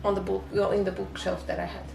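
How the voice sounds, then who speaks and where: A woman from Portugal speaking English with a Portuguese accent, her voice gravelly with fry. She talks in a casual, halting way, full of fillers and restarts. The echoey room at home adds a roomy sound. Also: medium pitch, completely flat delivery, a crowd in the background. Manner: hesitant, many fillers and restarts. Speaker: booktuber. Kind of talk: casual vlog chat.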